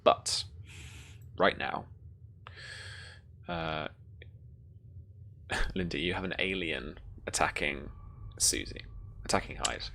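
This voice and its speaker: A woman's voice: rumbling low, slow pace, gravelly, pauses often, pushed and bright, a little hiss or hum. A woman running a tabletop roleplaying game as game master, informal and encouraging toward her players.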